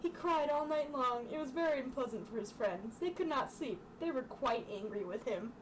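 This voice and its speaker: A woman's voice: high-pitched, expressive, moderate pace. A young woman reads a children's book aloud informally, dropping in casual asides and stumbling over the text. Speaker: storytime reader. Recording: distant mic, clearly noisy room sound.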